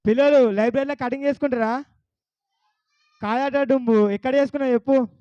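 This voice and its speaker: A man's voice: very high voice, fairly even intonation, a little fast, clear, resonant tone, dry recording, muffled recording. A man with a Telangana Telugu accent hosting a puppet show, coaxing a child audience with questions. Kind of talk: lively talk to a child audience.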